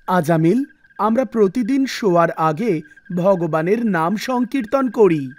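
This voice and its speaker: A woman voicing a solemn male wandering holy man in a measured, formal way, for a cartoon devotional story. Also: mid-range voice, lively intonation, a little mellow, pitched below the performer's own voice, natural voice. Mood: calm, devout. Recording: music bed under the voice.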